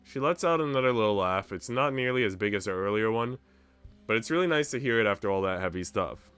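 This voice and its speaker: A man's voice: on the low side, animated, quick pace, fairly bright tone, smooth, dry recording, faint music behind. A man streaming a visual novel, reading the story narration expressively with casual asides.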